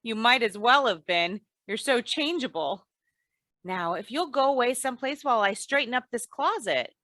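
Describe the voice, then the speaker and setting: Woman acting a sensible housewife, old-time radio comedy, folksy, gently chiding, high bright voice. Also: lively intonation, normal speed, dry recording, studio quality. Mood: wry.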